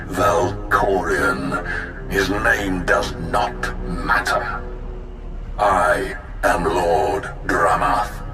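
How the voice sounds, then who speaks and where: An actor voicing the spirit of an ancient male Sith Lord in a video game, speaking in a slow, grave, commanding way. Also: sits low. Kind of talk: video game cutscene acting. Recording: echoing spirit voice over game score.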